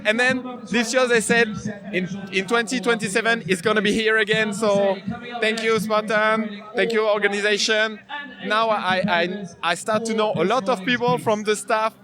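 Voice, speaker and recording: High-voiced man with halting English. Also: steady tone, crowd noise. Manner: halting, searching for words. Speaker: race athlete. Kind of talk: casual interview answers in non-native English. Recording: music playing behind.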